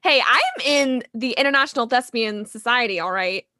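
A playful young woman on a podcast, full of laughs and theatrical flair. Her voice is bright and a bit high. The sound is dry and close. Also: expressive, normal speed, dry recording. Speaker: podcast co-host. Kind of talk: casual chat recapping a TV episode.

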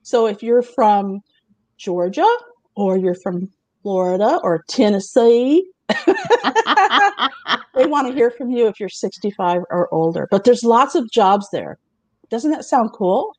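A middle-aged woman hosting a casual talk show, chatty and quick to laugh as she presents remote job openings and asks the others questions. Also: medium pitch, lively intonation, mellow, no room sound.

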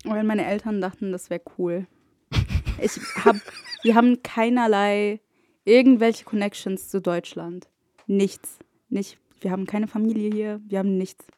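Egyptian-born woman, arabic native, chatting hesitantly w english bits, dark mellow tone. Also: medium pitch, steady tone, unhurried. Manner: mixes English words into her talk, hesitant. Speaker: podcast guest. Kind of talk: casual chat.

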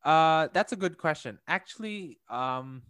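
A young man from Indonesia talks things through in a relaxed conversation, pausing with fillers and restarting his sentences. His voice is smooth and resonant. It is recorded dry and close, with no room sound. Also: a little high, dry recording. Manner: thoughtful, hesitant, many fillers and restarts, laughs lightly. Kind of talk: casual conversation.

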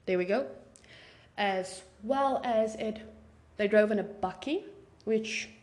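A woman's voice: medium pitch, slow pace, darkish tone. Chatty South African woman, echoey room. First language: Afrikaans. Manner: chatty, informal, rambling asides. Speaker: podcast host. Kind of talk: casual true crime podcast narration. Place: home room with papers on desk.

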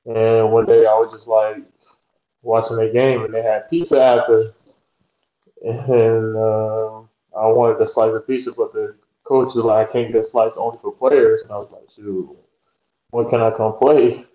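Laid-back man, flat voice. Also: fairly low pitch, a little slow, roomy sound, dull audio. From Georgia, USA. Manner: laid-back, rambling with many fillers and restarts. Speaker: guest, pro basketball player. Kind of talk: casual interview chat.

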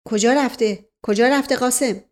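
A woman's voice: on the high side, close to an everyday voice. Storybook uncle, female reader acting: older man, imperious and agitated, grave dramatic delivery full of command. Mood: anxious, impatient.

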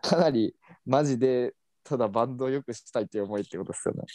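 A man's voice: mid-range voice, rapid-fire, mellow, dry recording. Casual man reacting in chat.

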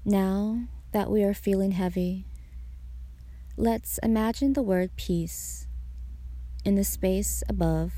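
A woman guiding a meditation in a soothing way, pausing between short phrases. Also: medium pitch, warm tone, dry recording. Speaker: meditation guide, podcast host. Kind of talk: guided meditation narration.

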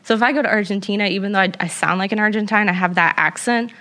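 A woman's voice: mid-range voice, very fast, bright, forceful tone. A young woman talking casually and reflectively, rambling with many fillers as she shares her experience. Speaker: guest. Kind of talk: casual conversation.

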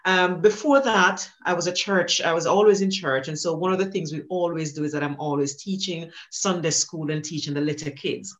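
A middle-aged Jamaican woman telling the story of her life as a guest on a podcast. She speaks with an easy, animated rhythm and laughs often as she remembers. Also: lowish voice, fairly quick, few pauses. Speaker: guest, teacher. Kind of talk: conversational storytelling.

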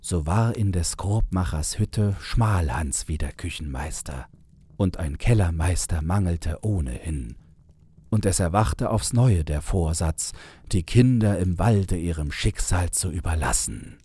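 A man with a husky voice narrating a fairy tale in a measured, unhurried way. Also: low-pitched, expressive, warm tone, no echo. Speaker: storyteller, narrator.

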